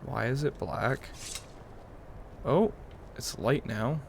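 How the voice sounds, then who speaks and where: A man's voice: medium pitch, slow, vocal fry, a little hiss or hum. A man hosting a gaming video, giving casual roleplay commentary as he plays. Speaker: gaming video host and player.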